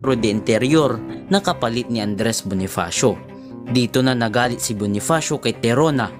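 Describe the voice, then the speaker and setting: A man reads a documentary narration in an even, formal voice of middle pitch. The clip is played from a video, with soft guitar music underneath. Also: moderate pace.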